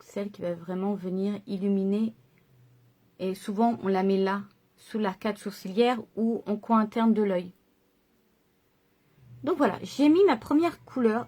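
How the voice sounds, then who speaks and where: A woman's voice: fairly high pitch, darkish tone. A woman chatting through a makeup tutorial and explaining each step to her viewers. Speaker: makeup vlogger.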